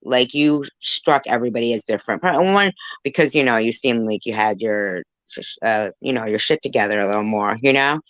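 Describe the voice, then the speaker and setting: A woman hosting a casual livestream conversation, talking in a halting, roundabout way. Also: rumbling low, a bit bright, dry recording, muffled recording. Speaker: livestream host.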